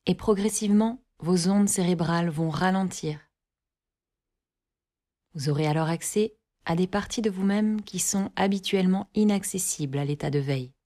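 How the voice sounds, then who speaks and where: A woman guiding a yoga nidra relaxation, giving calm, measured instructions in short phrases with long pauses between them. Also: fairly low pitch. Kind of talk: guided relaxation meditation, scripted instructions.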